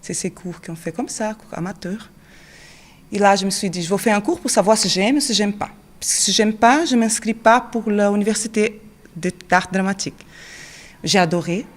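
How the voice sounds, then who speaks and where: An actress in her thirties with a Brazilian Portuguese accent, speaking French as a second language in a mid-pitched voice. She answers questions and keeps pausing on fillers while she looks for the right word. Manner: searching non-native French, frequent fillers and quick laughs. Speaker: drama teacher and actress. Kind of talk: interview answers.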